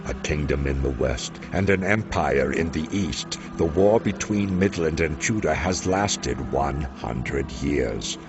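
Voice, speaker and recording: Very deep, gravelly fantasy narrator. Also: male voice, expressive, heavily acted. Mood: solemn, brooding. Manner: solemn, measured, portentous. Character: grave, brooding narrator of a dark fantasy saga. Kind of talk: scripted narration. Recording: dull audio with clear background music.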